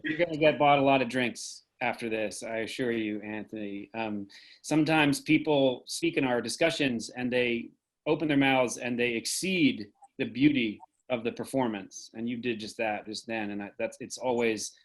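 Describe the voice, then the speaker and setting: A man facilitating an audience discussion over a video call, speaking in a warm, affirming way. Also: medium pitch, normal speed. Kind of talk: moderating a discussion.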